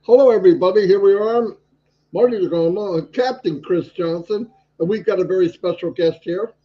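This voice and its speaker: A man co-hosting a live stream, introducing a guest in a halting way with fillers. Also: sits high. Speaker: co-host. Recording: dull lo-fi stream audio.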